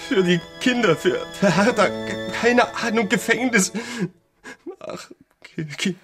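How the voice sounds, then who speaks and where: A man's voice: high-pitched, fairly bright tone, soft background music. Weary, pleading door-to-door salesman. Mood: desperate outburst. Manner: pleading, halting, deferential. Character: worn-out, desperate young male door-to-door wax salesman. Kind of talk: German radio play acting.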